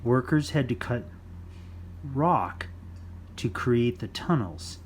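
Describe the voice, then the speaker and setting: A man with a mid-range voice reads a children's fact book aloud. He takes it slowly, in simple, deliberate phrases, with some background noise. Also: mid-range voice, animated. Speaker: children's storytime reader.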